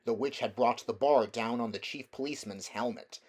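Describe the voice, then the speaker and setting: A man's voice: medium pitch, a little fast, darkish tone. A man reading a children's fantasy novel aloud as scripted storybook narration. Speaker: amateur audiobook reader.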